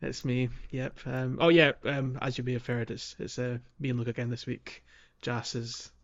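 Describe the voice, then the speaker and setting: A slightly muffled, lo-fi recording of a man with a medium-pitched voice. He talks informally as a podcast co-host, in the relaxed phrasing of a chat about games. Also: normal speed. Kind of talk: casual two-man video game discussion.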